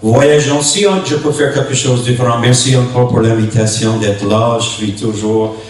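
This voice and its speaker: A man from New Brunswick, a native English speaker with an English-speaking Canadian accent, preaches as a visiting pastor in a halting way, in short phrases, searching for words. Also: mid-range voice. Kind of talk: sermon. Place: church hall during a worship service. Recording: echoey hall, distant mic, faint noise.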